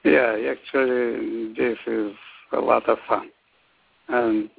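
A middle-aged man from Bulgaria with a Bulgarian accent, giving halting, slow answers with many fillers as an interview guest. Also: mid-range voice, little pitch movement, creaky, no room sound. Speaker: guest artist. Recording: tinny phone line.

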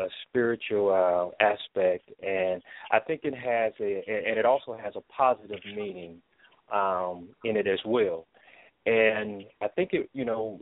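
A man answering interview questions as a producer, halting and earnest, over a tinny phone line. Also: fairly low pitch, no room sound. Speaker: stage play producer, interview guest.

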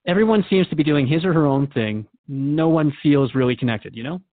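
A man plays a radio interviewer in a drama. He talks quickly but hesitates as he reflects, sounding earnest, questioning and easily troubled, in everyday conversational speech. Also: fairly high pitch, expressive, narrow, tinny audio, close to an everyday voice. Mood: curious. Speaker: radio host.

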